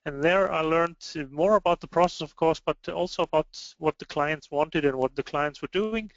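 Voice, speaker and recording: A man with an Icelandic accent gives an informal webinar presentation in a hesitant, halting way. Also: a little high, no room sound. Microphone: online web meeting microphone. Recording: dry, slightly muffled online call audio.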